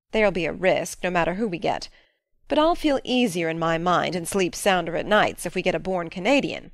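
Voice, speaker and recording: A woman's voice: on the low side, no echo. A woman playing a stern, practical middle-aged farm woman in a dramatic audiobook, talking in a plain, folksy, matter-of-fact way. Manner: plain-spoken, matter-of-fact, folksy old-fashioned phrasing.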